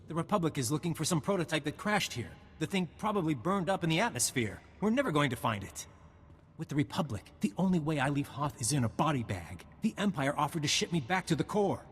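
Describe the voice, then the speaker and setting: A man's voice: a little high, sing-song, quick pace, slightly rough. A man playing a nervous, cowardly soldier turned traitor in a video game, faltering and evasive. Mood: bitter, resigned. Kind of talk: video game cutscene acting. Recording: reverberant game audio, faint noise.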